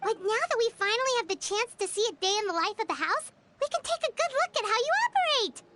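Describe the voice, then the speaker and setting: A woman voicing a tiny, excitable, greedy fairy companion in a video game, with a squeaky childlike voice. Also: fast, very noisy. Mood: excited. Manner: exclamatory, speaks of herself in third person. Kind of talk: animated video game voice acting.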